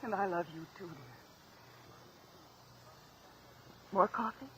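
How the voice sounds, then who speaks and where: An actress playing a devoted, worried American wife in a mid-century radio drama, solicitous and fretful. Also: female voice, mid-range voice, expressive, a little fast, fairly bright tone, close to an everyday voice. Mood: tender. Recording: noisy old radio drama recording.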